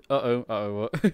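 Male streamer, giggly and teasing, swoops up and down in pitch, unhurried, faint music behind. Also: darkish tone, clear, resonant tone, dry recording. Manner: giggly, teasing, quick to laugh. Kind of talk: casual livestream banter.

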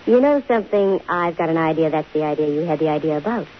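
A wry, self-assured American woman detective in a 1950s radio drama. Her voice sits fairly low and her delivery is dry and sardonic. The old broadcast is muffled, with clear hiss. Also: lively intonation, fast. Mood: wry, coolly flirtatious. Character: wisecracking, self-assured female private detective. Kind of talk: 1950s American radio drama acting with first-person narration.

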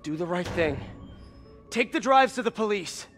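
An earnest young male superhero in a cinematic video game. He is quippy and expressive, his pitch moving around a lot, with music underneath. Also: moderate pace. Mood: betrayed, urgent.

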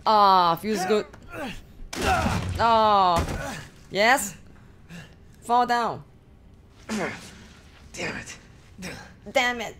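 A woman's voice: mid-range voice, extremely slow, pauses often, a bit bright, a little hiss or hum. A young woman with a Singaporean accent, livestreaming a horror game and talking to her chat, swearing and shrieking at scares. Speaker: streamer. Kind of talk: live gameplay commentary. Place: small bedroom. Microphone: studio mic on arm with headphones.

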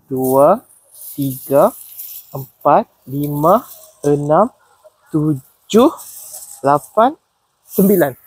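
Husky, high-voiced Malaysian man vlogging in paddy fields; curious, keeps asking questions. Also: a little mellow, no room sound, rough recording. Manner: curious, questioning, repeats answers back. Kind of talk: casual walking vlog chat. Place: outdoors in rice paddy fields. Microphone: body-worn action camera.

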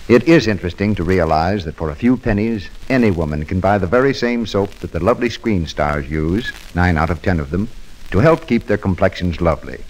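A man's voice: sits low, poor audio. A man announcing a scripted old-time radio advertisement in a formal, persuasive manner.

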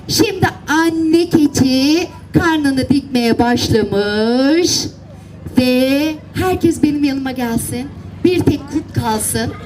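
A woman with a high voice tells a children's tale at a slow pace. She repeats phrases and coaxes young listeners with simple instructions. A faint crowd murmurs behind her at a live event. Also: darkish tone, rough recording. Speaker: children's storyteller. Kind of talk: animated storytelling and directing children in acting out a tale. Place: outdoor seaside children's event. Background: faint crowd and ambient noise.

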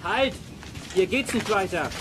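A gruff, bossy quarry worker. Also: male voice, high-pitched, animated, unhurried, very noisy. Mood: stern. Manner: curt, commanding. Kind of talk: German children's audio drama acting.

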